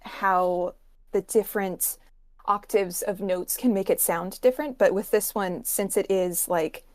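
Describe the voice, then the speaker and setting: Female music expert guest, mid pitch, keen and conversational, recorded close and dry. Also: steady tone, unhurried, a little mellow, no echo. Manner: enthusiastic. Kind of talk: casual explanatory music analysis.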